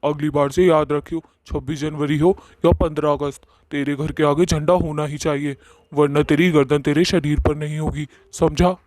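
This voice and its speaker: A man's voice: on the high side, fairly even intonation, moderate pace, a little mellow, no room sound, natural voice. A man voicing a bossy, boastful male ghost in a Hindi cartoon story, with a swaggering, colloquial way of talking. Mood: bullying. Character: bossy, boastful male ghost who bullies villagers and flatters his sweetheart.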